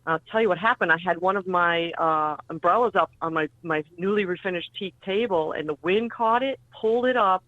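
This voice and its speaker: Raspy woman co-hosting on a dull line. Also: lowish voice, fairly quick, hardly any pauses, no echo. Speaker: radio co-host. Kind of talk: casual talk-radio chat.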